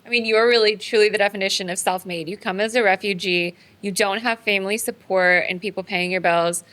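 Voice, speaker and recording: A woman's voice: mid-range voice, steady tone, brisk, no echo. A woman hosting a podcast interview, effusive and complimentary toward her guest. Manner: warm, effusive praise of guest. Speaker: podcast host. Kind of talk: casual interview.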